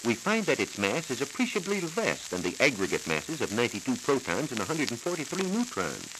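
An American man working as a science reporter, giving a formal, measured, scripted explanation in 1940s radio style. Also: mid-range voice, wildly swooping pitch. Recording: hissy, crackly 1940s transcription disc.

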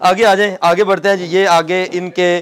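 A man's voice: sits high, little pitch movement, moderate pace, clean tone. Man touring a fabric warehouse. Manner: repeats and sums up the seller's points for viewers. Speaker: host. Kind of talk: casual shop tour chat. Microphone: clip-on lapel mic.

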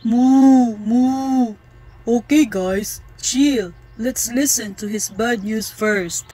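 A woman voicing a blunt cow in a puppet show, with drawn-out moos, on a noisy home recording. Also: a little high, dark tone. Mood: calming.

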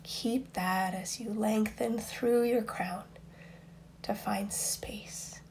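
A woman guiding a meditation in a soft, breathy, near-whispered voice, soothing and unhurried, with long pauses between instructions. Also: mid-range voice, steady tone, slight background noise. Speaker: meditation guide. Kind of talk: guided meditation.

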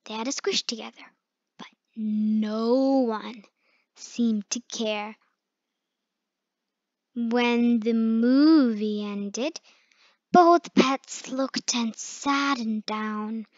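A woman reads a children's storybook aloud in a fairly high voice. She goes slowly and haltingly, and the recording sounds somewhat muffled. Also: darkish tone. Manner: halting, stumbles over hard words. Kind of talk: reading a children's picture book aloud. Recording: muffled, echoey room recording.

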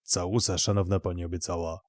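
A man's voice: low voice, flat delivery, a little fast, dry recording, clean studio sound, voice pushed down, close to an everyday voice. A man voicing a cheeky, blunt cab driver in an audio story, with an insolent manner. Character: cheeky, disappointed male cab driver in steampunk tale. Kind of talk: acted storytelling dialogue.

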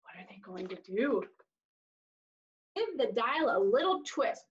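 A woman in her thirties reading a storybook aloud to young children with expressive, exaggerated delivery and dramatic pauses, in a small room. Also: a little high. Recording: dull, lo-fi webcam audio.

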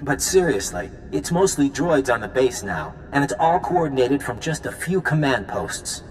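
An actor playing a flirtatious, upbeat resistance fighter in a video game, with a playful, effusive manner. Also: male voice, mid-range voice, big pitch swings, normal speed, faint music behind, lightly acted, low-quality recording. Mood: eager, briefing. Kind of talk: video game cutscene acting.

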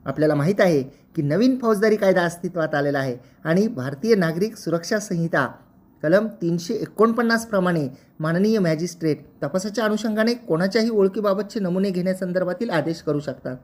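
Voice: medium pitch, a little fast, a little mellow. A presenter explains legal matters in a formal, methodical way, restating points as they go.

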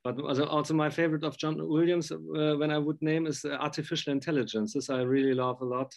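A man's voice: on the high side, steady tone, quick pace, gravelly. A middle-aged man, chatting effusively as an interviewer on a video call from a room at home. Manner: effusive, enthusiastic. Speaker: interviewer, film music fan. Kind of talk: casual interview chat.

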